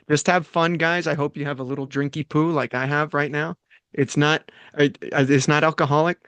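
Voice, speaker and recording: A man's voice: fairly high pitch, a bit bright, clean tone, dry recording. A man hosting a table read, moving between casual, playfully crude banter and reading out stage directions. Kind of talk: casual, joking group chat and script narration.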